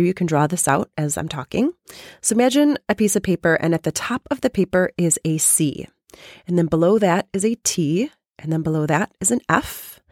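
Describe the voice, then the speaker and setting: A woman life coach hosting a podcast and explaining a method step by step, with a hoarse, raspy voice from a cold. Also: fairly low pitch, darkish tone, dry recording, clean studio sound. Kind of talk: casual coaching talk.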